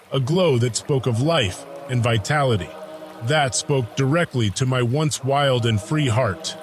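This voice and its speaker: A man delivers dramatic first-person narration in a grandiose storyteller's manner. His florid, scripted phrases unfold over clearly audible background music. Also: mid-range voice. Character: ancient soldier turned paladin, lovestruck, reminiscing. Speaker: writer and narrator of an audio drama.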